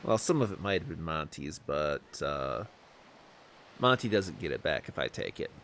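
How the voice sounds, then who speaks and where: A man's voice: a bass voice, big pitch swings, normal speed, a bit bright, a little hiss or hum. A man streaming a strategy game, chatting casually with viewers, hesitant and self-deprecating, with many fillers. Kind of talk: casual live-stream commentary. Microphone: desk microphone, no camera.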